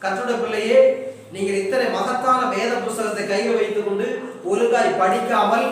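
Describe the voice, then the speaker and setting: A man's voice: very high-pitched, fairly bright tone. A man preaching a fervent sermon, exhorting emphatically with repeated rhetorical questions. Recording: echoey hall, distant mic, music behind.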